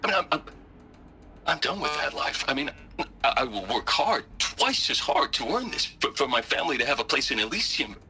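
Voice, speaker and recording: An actor playing a remorseful male scientist in a video game, stammering and halting as he speaks. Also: mid-range voice, sing-song, bright, forceful tone, exaggerated voice. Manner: stammering, halting, full of restarts. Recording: game audio with faint music.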